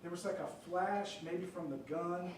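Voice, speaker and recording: A man's voice: high-pitched, unhurried. A man explaining trial rules to a jury panel in a conversational way, often checking in with "okay?" and "right?". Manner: conversational, many fillers and check-ins like "okay?". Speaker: prosecutor questioning jury panel. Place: large courtroom. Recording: echoey courtroom picked up from far off, light noise.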